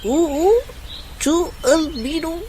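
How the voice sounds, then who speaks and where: A woman's voice: high-pitched, drawn-out words, darkish tone, slight background noise. A woman playing a solemn young nephew in a comic audio drama, speaking earnestly with a Filipino accent.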